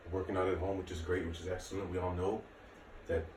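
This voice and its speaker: Male fitness instructor, late 30s, very low voice, informal and reassuring, recorded at a distance from the mic. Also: level tone, fast. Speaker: martial arts and fitness instructor. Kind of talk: casual workout instruction to camera. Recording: echoey room, distant mic, faint noise.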